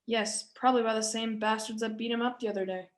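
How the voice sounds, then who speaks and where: A woman's voice: a little high, steady tone, quick pace, close to an everyday voice. Woman reading as Dwayne, a stubborn battered boy. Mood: bitter, defiant. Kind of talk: table read of a screenplay.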